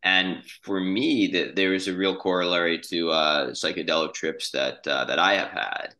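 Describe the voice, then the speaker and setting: Male co-host, low voice with crisp bright tone, conversational and haltingly deliberate. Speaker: podcast co-host. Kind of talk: casual podcast interview.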